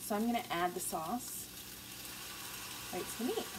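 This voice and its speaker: A woman's voice: mid-range voice, sing-song, normal speed, background noise. A woman in her forties chatting easily as she cooks in her home kitchen, recorded on a counter camera. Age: 40s. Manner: chatty, easygoing, laughs at herself. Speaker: stay-at-home mom vlogger. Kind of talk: casual cook-along chat. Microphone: camera on the counter, low angle. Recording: distant mic with loud kitchen clatter. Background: pans sizzling and cutlery clinking.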